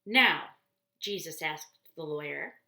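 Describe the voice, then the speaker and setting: A woman reading a children's story aloud expressively, deliberately, explaining things in simple words. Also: low voice, expressive, creaky, pushed and bright. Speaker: storybook reader for church children. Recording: roomy, echoey indoor sound.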